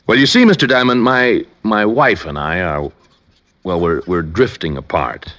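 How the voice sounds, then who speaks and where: A man's voice: deep voice, animated, normal speed, fairly bright tone. An actor playing a retired, older businessman under suspicion, courteous but hesitant and stammering, in old-time radio style. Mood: uneasy. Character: uneasy, polite retired businessman, evasive suspect and husband. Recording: dull, muffled old radio recording.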